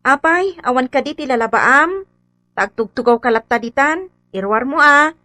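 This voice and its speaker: A woman's voice: high voice, expressive, normal speed, sharp tone, dry recording. An Ilocano-accented woman playing a nosy, fussy housewife in a comedy radio drama, exclaiming and gossiping with exaggeration. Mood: prying, sympathetic.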